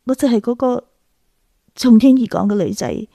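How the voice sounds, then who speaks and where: A young woman in a radio drama, pensive and wistful. Her voice sits fairly high with a marked creak, recorded close and dry, and she speaks in a hesitant, inward way. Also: normal speed, warm tone, dry recording. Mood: guarded, quiet.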